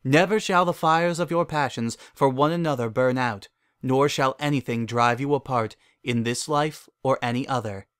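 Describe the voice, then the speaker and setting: A man's voice: mid-range voice, moderate pace, a bit bright, clean tone, no echo, clean studio sound, lightly acted. A man voicing a regal, confident goddess of love who speaks in grand, archaic, formal phrases in a fairy tale reading. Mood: grand, tempting.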